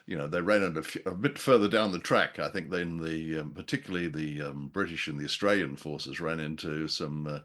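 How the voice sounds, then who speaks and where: Very low-voiced man in casual chat. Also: fairly quick, hardly any pauses, a bit bright. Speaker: podcast co-host reviewing old radio.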